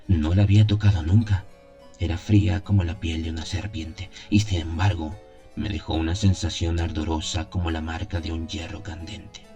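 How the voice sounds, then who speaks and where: A man's voice: deep voice, dark tone. A man narrating a gothic tale in the first person as a scripted audio drama, solemn and theatrical in his storytelling. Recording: music behind the voice. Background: music.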